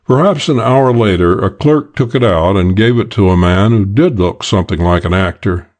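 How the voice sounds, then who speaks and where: Casting: male audiobook narrator, lowish register, measured and matter-of-fact delivery for hardboiled detective prose. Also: a little mellow.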